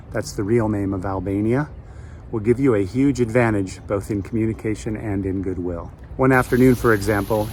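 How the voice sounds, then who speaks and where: Man in his late fifties, from the US west coast, low voice, measured reflective narration, faint outdoor traffic hum. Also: darkish tone. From west coast of the United States. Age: 50s. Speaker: travel vlogger. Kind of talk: scripted voice-over narration.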